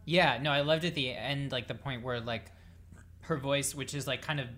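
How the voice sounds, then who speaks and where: A woman with a very low voice talks about new songs on a music show. She rambles, circles back and leans on fillers, and she sounds openly excited about the music. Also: moderate pace. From Saint Paul, Minnesota. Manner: rambling, many fillers, enthusiastic.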